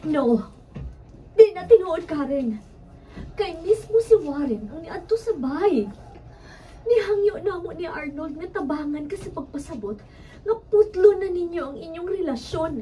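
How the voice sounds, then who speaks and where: Woman acting high, mellow-voiced Cebuano best friend. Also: expressive, a little slow, distant mic, rough recording. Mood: firm, persuading. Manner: earnest, reasoning, admonishing. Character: concerned, admonishing female best friend, firm and pleading. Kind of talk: Cebuano radio drama acting.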